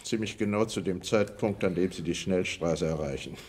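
An actor playing a coolly conspiring poisoner in a radio crime play, matter-of-fact and calculating. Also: male voice, sits low, moderate pace, darkish tone, husky. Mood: coolly conspiratorial.

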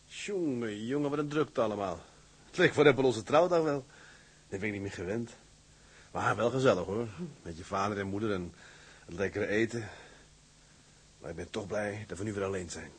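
An actor playing a hearty, affectionate young ship's mate in a radio play. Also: male voice, fairly low pitch, moderate pace, pauses often, husky. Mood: quiet, musing. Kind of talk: dramatised radio play acting.

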